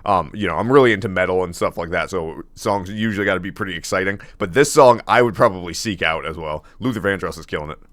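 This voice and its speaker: A man with a deep baritone voice reacting casually to a song, laughing often and rambling with fillers and restarts. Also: fast talker, few pauses, fairly bright tone. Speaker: music reaction host.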